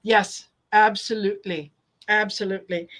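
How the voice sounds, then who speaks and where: A woman's voice: medium pitch, fairly even intonation, moderate pace. Laughing woman hosting a tarot stream. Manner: warm, agreeable, laughs often. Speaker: tarot channel host. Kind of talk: casual livestream chat.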